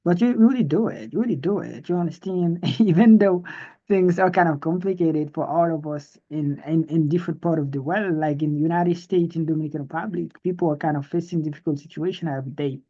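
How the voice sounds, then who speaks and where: A man's voice: fairly high pitch, a little fast, dark tone. A man whose first language is Haitian Creole, an English teacher hosting an online class, heaping praise on students with stumbling restarts. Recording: muffled online call audio.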